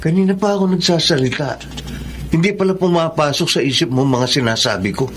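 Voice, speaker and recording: An actor playing a jealous, hurt lover in a radio drama, reproachful and insistent. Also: male voice, a little high, brisk, noisy background, close to an everyday voice. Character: jealous, hurt male lover demanding full attention. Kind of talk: Tagalog radio drama acting.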